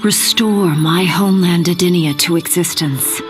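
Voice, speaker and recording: A woman's voice: lowish voice, unhurried, music in the background, natural voice. An actress playing a noble, resolute warrior queen, narrating a video game cutscene in a solemn, formal and earnest manner. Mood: solemn, hopeful.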